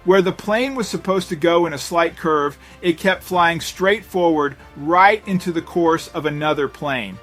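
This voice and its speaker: A male narrator reads a scripted story in a dramatic, suspense-building way. His voice sits a little higher than average for a man. Soft music runs behind the voice-over. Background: background music.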